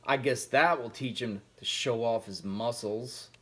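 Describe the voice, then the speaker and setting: A man's voice: mid-range voice, unhurried, sharp tone, breathy edge. A man voicing a smug male house cat in a storybook, scornful and needling. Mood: surprised, smug.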